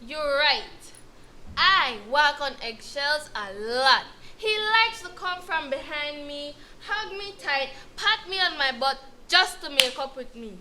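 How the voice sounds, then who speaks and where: High-voiced shaken wife, acted by a woman. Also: expressive, takes it slowly, bright, forceful tone, heavily acted. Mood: resigned. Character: abused wife, meek, shaken, blaming herself. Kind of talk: scripted educational dramatization. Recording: clear background noise.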